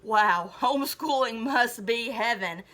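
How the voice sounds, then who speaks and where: A picked-on, nerdy middle-school boy from a storybook as a woman reads him aloud. The voice is high and sharply bright. He comes across as wry and resigned, with a dramatic streak. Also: takes it slowly. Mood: sarcastic.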